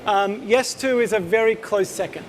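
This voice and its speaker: A man's voice: high voice, moderate pace, a little hiss or hum. A man in his thirties with an Australian accent, giving a casual, joking conference talk as a company co-founder and quizzing the room. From Israel. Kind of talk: conference presentation to a live audience.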